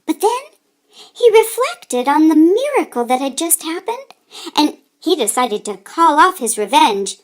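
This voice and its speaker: A woman reading a children's story aloud with deliberate, dramatic emphasis. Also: sits high, very animated. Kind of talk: expressive read-aloud narration for children.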